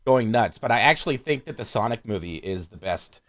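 A man's voice: fairly low pitch, lively intonation, a little fast, a bit bright. A man in a casual, opinionated chat about films as a podcast guest, swearing freely and wandering into asides.

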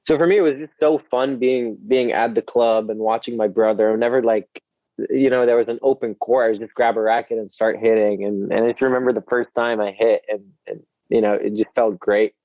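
Twenties man from Egypt, relaxed podcast guest with a lowish voice, on a dry, dull remote line. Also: fairly quick, no echo. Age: 20s. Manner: friendly, laughs easily, repeats words. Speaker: guest, professional athlete. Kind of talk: casual interview answers.

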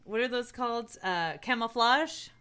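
A woman's voice: a little high, unhurried, a bit bright, slight background noise. A woman co-hosting a casual chat podcast, teasing and crude in her jokes. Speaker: podcast co-host.

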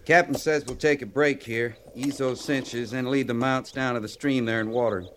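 An actor playing a plain-spoken, respectful cavalry sergeant in a radio western, with folksy, drawling frontier talk. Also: male voice, mid-range voice, steady tone, normal speed, lightly acted. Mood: businesslike. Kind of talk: 1950s radio western acting. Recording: noisy vintage radio drama recording.

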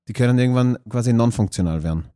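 A man's voice: mid-range voice, fast, warm tone, clear, resonant tone, dry recording. Austrian man chatting, very dry sound. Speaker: podcast co-host. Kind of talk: casual chat.

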